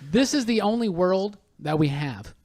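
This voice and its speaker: A man's voice: sits high, expressive, unhurried, a crowd in the background. A man hosting a podcast in casual, irreverent talk, emphatic, repeating words as he goes and swearing freely. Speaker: podcast host.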